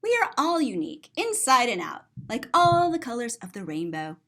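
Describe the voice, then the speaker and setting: A woman's voice: a little high, wildly swooping pitch, normal speed, a bit bright. A woman reading a children's picture book aloud as a story-time host, expressively and with simple explanations for young listeners.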